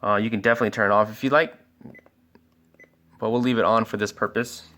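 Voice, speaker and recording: A man's voice: on the low side, steady tone, fast, sharp tone, slight background noise. Man casually walking through a product demo. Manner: informal, step-by-step explaining. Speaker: product demonstrator and scanner sales expert. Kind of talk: casual product review and walkthrough.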